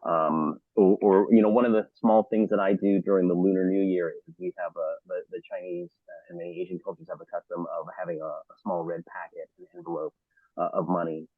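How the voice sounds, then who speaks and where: A deep-voiced man talks on a panel in a halting way, full of ums and repeated words. His voice comes through dry and narrow, like a phone call. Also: no echo. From Los Angeles area, United States. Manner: halting, many fillers and restarts. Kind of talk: panel discussion. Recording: thin phone-like audio.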